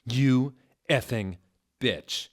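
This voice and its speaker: A man's voice: mid-range voice, extremely slow, pitched above the performer's own voice. A man voicing an overwrought, hot-tempered teenage goth vampire girl who exclaims melodramatically in teen slang. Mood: furious.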